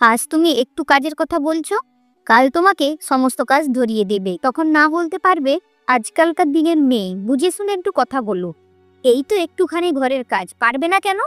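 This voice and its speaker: A woman's voice: high-pitched, a bit bright, no echo, clean studio sound. A woman voicing a jealous, bitter young married sister-in-law who complains and scolds in a cartoon story. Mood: scornful. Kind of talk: Bengali cartoon fairy-tale voice acting.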